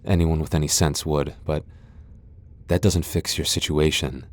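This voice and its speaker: A man's voice: extremely low pitch, steady tone, a little slow, no room sound. A man playing a proud, guarded tsundere vampire lord in an ASMR roleplay, with a haughty, sardonic and reluctant manner. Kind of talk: ASMR boyfriend roleplay audio drama.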